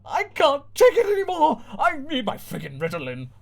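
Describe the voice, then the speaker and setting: A man's voice: extremely high pitch, huge pitch swings, fairly quick, darkish tone, voice pushed up, full character voice. A man voicing a frazzled, chirpy TV mother in a parody story reading. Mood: shrieking. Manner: chirpy, fraying into shrieks. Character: frazzled sing-song TV mother.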